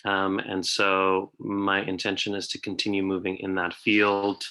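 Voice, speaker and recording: A young man from South Africa speaking on a panel over a video call, thoughtful and filling pauses with ums. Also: low-pitched, completely flat delivery, pushed and bright, clear, resonant tone. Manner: thoughtful, frequent ums and uhs. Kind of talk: conversational panel sharing.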